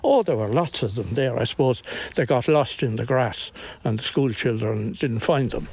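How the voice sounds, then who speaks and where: A man's voice: mid-range voice, animated, moderate pace, a little mellow. Older Irish man reminiscing, noisy outdoor recording. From Inistioge, County Kilkenny, Ireland. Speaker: local interviewee telling folklore. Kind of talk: unscripted reminiscing. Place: outdoors in estate grounds. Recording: outdoor location recording, clear background noise.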